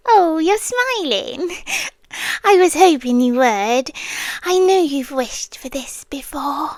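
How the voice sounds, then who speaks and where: A British woman voicing a possessive, devoted guardian angel in a soft, breathy, close-mic ASMR roleplay that often drops into a whisper. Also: high-pitched, dry recording, exaggerated voice. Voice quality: breathy, intimate, drifting into whispers. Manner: slow, tender, quietly obsessive. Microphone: close mic, dry sound.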